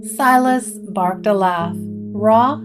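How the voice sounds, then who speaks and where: Slow-paced woman narrating gothic horror. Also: mid-range voice, over music. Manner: measured, dramatic storytelling.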